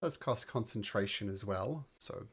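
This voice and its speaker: A middle-aged man running a tabletop game as game master, relaxed and correcting himself as he explains the rules. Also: lowish voice, moderate pace, slightly rough.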